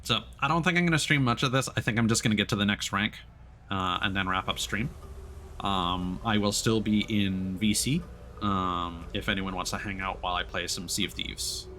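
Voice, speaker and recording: Bright-voiced male game streamer. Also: fairly low pitch, moderate pace, a little hiss or hum. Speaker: livestreamer, gamer. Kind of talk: casual live gaming commentary with asides to chat.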